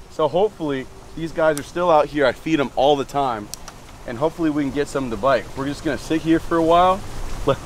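Excitable young man vlogging while fishing. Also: fairly high pitch, a little hiss or hum. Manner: excitable, chatty, talks to viewers while fishing. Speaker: fishing channel host. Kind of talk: casual fishing vlog, talking to viewers. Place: outdoors by a lake and a pool.

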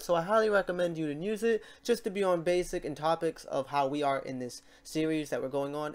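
A man hosting a casual software tutorial, informal and halting as he thinks aloud. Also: high-pitched. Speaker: tutorial host. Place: indoors at a computer, keyboard typing behind.

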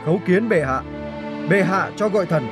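High-voiced dutiful court officer. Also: male voice, lively intonation, moderate pace, over music. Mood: deferential. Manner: formal, respectful. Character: dutiful, deferential male court investigator and officer. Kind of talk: historical cartoon voice acting.

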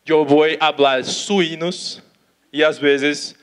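A young man from Brazil with a Portuguese accent, giving a conference talk in halting Spanish on an auditorium stage, talking slowly with restarts. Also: a little high, steady tone, a bit bright. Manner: slow, careful, with restarts and Portuguese words. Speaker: conference speaker. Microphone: handheld mic.

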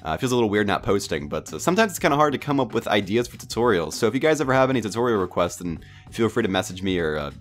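A young man with a stuffy, congested voice from a cold, talking through a software tutorial at his computer in a relaxed, rambling way with lots of ums and uhs. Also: sits low. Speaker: tutorial host. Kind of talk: casual screen-recorded software tutorial.

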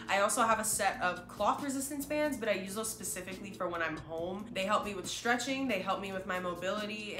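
Young woman, stuffy nose, upbeat vlogger chat, lowish pitch, roomy echo, faint music. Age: young adult. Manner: chatty, upbeat, addresses viewers directly. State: stuffy nose from a sinus infection. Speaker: fitness vlogger. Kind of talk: casual vlog show-and-tell. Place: small bedroom with a couch, indoors.

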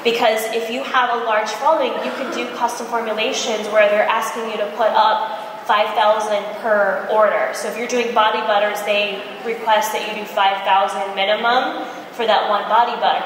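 An American woman giving an informal, chatty conference presentation with lively anecdotes, speaking into a handheld mic on stage. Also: medium pitch, brisk. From Michigan, United States. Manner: chatty, animated storytelling with acted-out quotes. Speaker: entrepreneur speaker, cosmetics brand founder. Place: large indoor expo conference room, on stage. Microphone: handheld microphone through PA, recorded from audience. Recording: very echoey hall, faint background noise.

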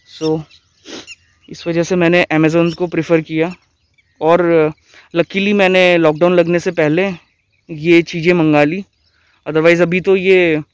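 A man's voice: high-pitched, clean tone, no room sound, highs cut off. A young man from India, cycling outdoors and earnestly giving friendly advice to his viewers, recorded on the camera mounted on his handlebars. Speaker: cycling vlogger. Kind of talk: casual vlog talk to camera while riding. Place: outdoors by an empty stadium, riding a bicycle. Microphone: handlebar-mounted camera mic. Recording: outdoor camera audio with loud ambient noise.

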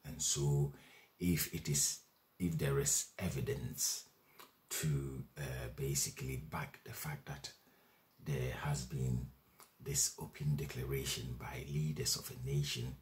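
A man in his forties explains a legal convention to a webcam in a small room at home, reading clauses aloud and adding measured comments with frequent fillers and restarts. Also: very deep voice, little pitch movement. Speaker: legal educator. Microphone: webcam at desk distance.

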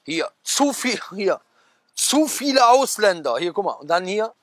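A man in his thirties speaks outdoors at a garden table, his voice sitting high for a man and recorded dry and close on a clip-on mic. He reads headlines aloud and then bursts into quick, gesturing asides, tossing rhetorical questions at his audience. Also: sing-song, no room sound. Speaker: preacher. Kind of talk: casual talk, reading out magazine headlines.

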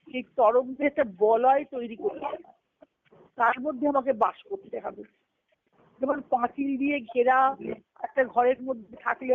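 A woman leading a devotional teaching on a conference call, reflective and explaining step by step, often asking a question and then answering it. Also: fairly high pitch. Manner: recites scripture terms in a measured cadence. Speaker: spiritual discourse leader. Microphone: phone on a conference call. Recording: tinny conference-call phone line.

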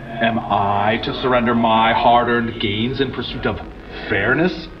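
A man's voice: mid-range voice, fairly even intonation, unhurried, noisy background, lightly acted. An actor playing a proud, aggrieved male ghost in an audio drama, speaking in formal rhetorical phrases. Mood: indignant. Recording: dull lo-fi radio sound.

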